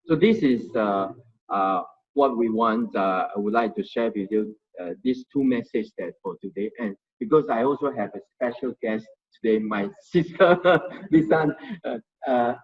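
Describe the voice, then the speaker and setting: A man giving an informal Buddhist study talk, speaking haltingly and often restarting as he searches for words. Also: mid-range voice, lively intonation, lo-fi sound. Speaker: Dharma sharing volunteer presenter.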